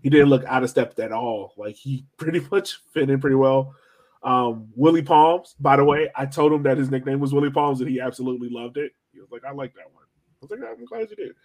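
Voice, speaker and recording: A man's voice: medium pitch, fairly quick, darkish tone. An American man co-hosting a sports podcast, talking casually through analysis of a soccer match.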